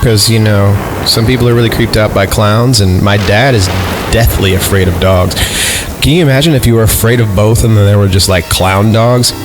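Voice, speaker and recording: A man with a fairly low voice hosts a podcast over clearly audible music. He reads in a dramatic, mock-serious way and breaks off for joking asides. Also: normal speed. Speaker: podcast host. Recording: music playing behind the voice. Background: background music.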